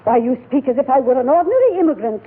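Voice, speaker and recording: An actress playing a pompous, indignant society matron with formal diction in a 1940s radio comedy. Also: female voice, high-pitched, big pitch swings, rapid-fire. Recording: tinny, faintly noisy 1940s radio recording.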